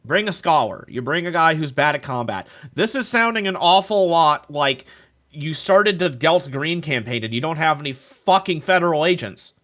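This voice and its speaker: A man's voice: on the high side, lively intonation, moderate pace, a bit bright. A man co-hosting a casual tabletop gaming chat, interjecting in a joking way. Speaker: podcast co-host.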